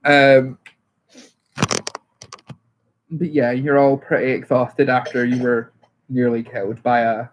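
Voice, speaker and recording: A man running a tabletop game as game master, loud and chatty with frequent laughs. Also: mid-range voice, level tone, normal speed, dull audio.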